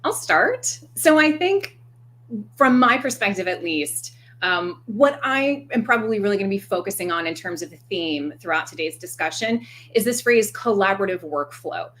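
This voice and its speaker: A woman's voice: mid-range voice, sing-song, normal speed, fairly bright tone, a little hiss or hum. An American woman speaking as a panelist in a discussion, articulate and enthusiastic. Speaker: business consultant, panelist.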